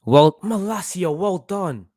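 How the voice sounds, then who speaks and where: A young man from Portugal, about eighteen, hosting a casual football watchalong stream in an excitable, reactive way and often repeating himself. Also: high-pitched, slow, no room sound, clean studio sound. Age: young adult, about 18. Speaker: livestream host.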